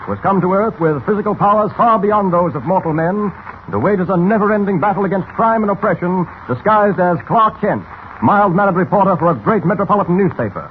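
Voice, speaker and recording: A man's voice: high-pitched, expressive, fairly quick. A man narrating a 1940s radio serial in a dramatic, grandiose, suspenseful scripted style. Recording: muffled, faintly hissy old radio recording.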